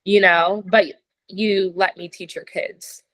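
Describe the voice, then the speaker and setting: Chatty young American woman, bright and clear tone, casual talk over a video call, loose and filler-heavy. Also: medium pitch, steady tone, takes it slowly, low-quality recording. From United States. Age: young adult. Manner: chatty, lots of "like" fillers, laughs while talking. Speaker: interview guest. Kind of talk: casual conversation.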